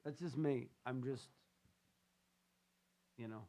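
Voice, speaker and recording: A man's voice: mid-range voice, slow pace, dark tone. A man hosting a financial radio show, chatting loosely and joking with his co-hosts between promos and calls. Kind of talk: casual on-air banter and show promos.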